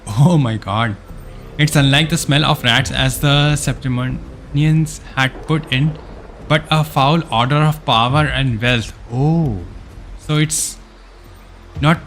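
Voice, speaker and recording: Guy streaming a game, medium pitch, reads the story out loud n reacts casually, bit of music behind him. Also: takes it slowly, poor audio.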